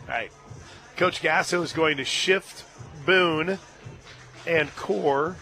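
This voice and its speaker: A man calling a live softball game on radio from a stadium booth, climbing into excited shouts on big plays and laughing easily. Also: a little high, takes it slowly, faint music behind. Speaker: radio play-by-play announcer. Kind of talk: live radio sports play-by-play.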